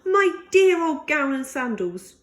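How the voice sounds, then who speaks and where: A woman plays a friendly storybook giant, big-hearted and exclamatory. The voice is high and swoops freely in a singsong way. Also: very animated, takes it slowly, fairly bright tone, heavily acted. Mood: delighted.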